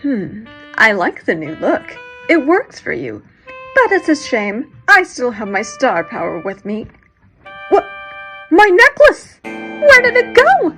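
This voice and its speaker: A woman playing a haughty, power-hungry sorceress villain in an audio drama, theatrical and menacing with drawn-out threats. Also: sits high, huge pitch swings, over music, full character voice. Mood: cool scorn, then shocked outrage.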